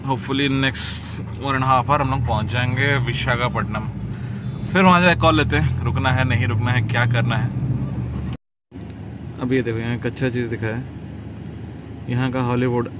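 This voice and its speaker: A man's voice: mid-range voice, steady tone, normal speed, long pauses. A man in his thirties from India chatting casually through a travel vlog while driving, mixing in English phrases, filmed on a camera held at arm's length. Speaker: vlogger. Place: inside a car and by a highway outdoors. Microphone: action camera at arm's length. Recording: loud road and engine noise. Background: car and road noise.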